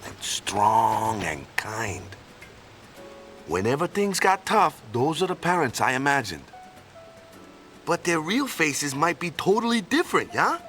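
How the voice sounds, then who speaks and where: A man's voice: on the high side, huge pitch swings, a little slow, a bit bright, faint music behind, heavily acted. An actor playing a laid-back, unsure young man in a game, talking easily with trailing "yeah?" tags. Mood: wistful. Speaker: game character.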